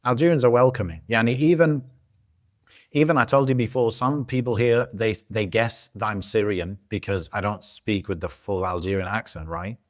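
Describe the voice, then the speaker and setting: A man co-hosting a podcast, talking in a relaxed, casual way with lots of fillers and restarts. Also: fairly low pitch, moderate pace. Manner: relaxed, loose storytelling with many fillers and restarts. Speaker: podcast co-host. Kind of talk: casual chat. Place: lives in Algeria.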